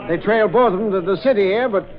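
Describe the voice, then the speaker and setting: An actor playing a harried, sarcastic city editor, impatient, in 1940s radio style. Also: male voice, high-pitched, quick pace, lightly acted. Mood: businesslike. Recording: dull old radio recording.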